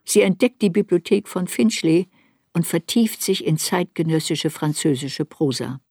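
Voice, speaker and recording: A woman reading scripted literary narration for a radio play in a measured, reflective way. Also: fairly low pitch, expressive, warm tone, no echo, clean studio sound.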